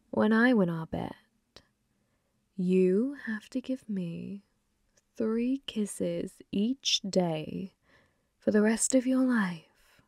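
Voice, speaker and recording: A woman voicing a flirty, possessive female demon bartender in an intimate ASMR roleplay, teasing and unhurried, sometimes slipping into whispers. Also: mid-range voice, darkish tone, dry recording, clean studio sound.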